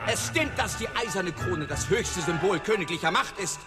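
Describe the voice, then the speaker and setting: An actor playing a haughty, boastful warlord in a fantasy radio drama, speaking in a pompous, declamatory way. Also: male voice, high voice, expressive, moderate pace. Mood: indignant. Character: haughty, boastful male Asur warlord, indignant and power-hungry. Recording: long hall reverb, loud music behind.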